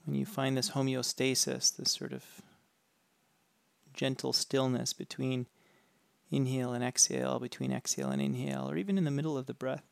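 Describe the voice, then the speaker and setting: A man leading a guided meditation with calm, gentle, unhurried instructions. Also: mid-range voice, creaky, clear, resonant tone, dry recording.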